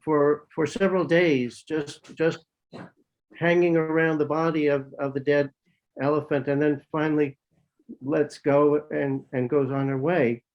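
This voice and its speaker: Hesitant, thoughtful man with a higher voice. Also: little pitch movement, measured pace, muffled recording. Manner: thoughtful, hesitant, repeats words. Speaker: psychiatrist and psychotherapy author. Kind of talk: answering questions in an online talk.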